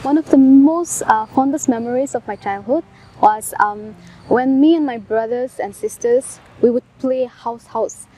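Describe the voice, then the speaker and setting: A woman's voice: a little high, a little slow, mellow, poor audio. A woman with an Indian accent recalling personal memories in simple, conversational words. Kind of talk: personal recollection. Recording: faint background ambient noise.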